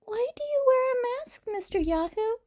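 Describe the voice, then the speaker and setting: A woman's voice: extremely high pitch, expressive, moderate pace, a little mellow, pitched above the performer's own voice. A woman voicing a small, innocent, timid and curious little girl in an audio story.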